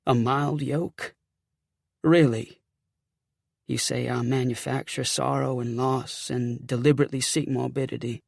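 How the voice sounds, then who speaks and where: Man playing a tormented Southern gentleman, gravelly and formal. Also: medium pitch, flat delivery, unhurried, no room sound, clean studio sound. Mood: anguished, bitter. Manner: formal, eloquent, anguished. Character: tormented genteel young Southern gentleman, wounded, guilt-ridden, defensive. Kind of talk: audiobook character acting.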